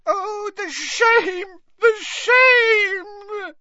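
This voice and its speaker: A man voicing a tearful, devoted female house-elf who squeaks and wails in broken grammar, crying. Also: animated, a little slow, bright, forceful tone, muffled recording, exaggerated voice. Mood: wailing. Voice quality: squeaky, wailing. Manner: broken grammar, sobbing outbursts.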